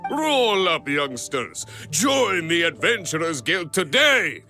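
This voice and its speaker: An actor voicing a boisterous, dramatic adventurers guild recruiter in a fantasy game, proclaiming everything theatrically. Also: male voice, a little high, sing-song, slow pace, pushed and bright, faint music behind, full character voice. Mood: rousing, excited. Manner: bombastic, proclaiming.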